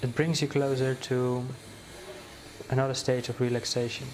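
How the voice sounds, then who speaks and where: A man guides a meditation in a slow, soothing voice that stays almost perfectly level in pitch. He pauses often and restarts his phrases as he leads the listener along. A faint hiss of noise sits under the recording. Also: little pitch movement, a little mellow, rough recording.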